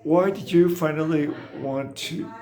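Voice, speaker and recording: A man hosting an interview, asking questions in a halting way with many fillers. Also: a little high, crowd noise. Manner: very slow, halting, gravelly. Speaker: interviewer, host.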